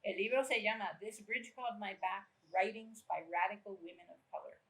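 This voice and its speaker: An older woman from Puerto Rico giving a keynote talk in a university amphitheater, reading her own prose in a measured, lyrical way and switching between Spanish and English. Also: mid-range voice, fairly bright tone, rough recording.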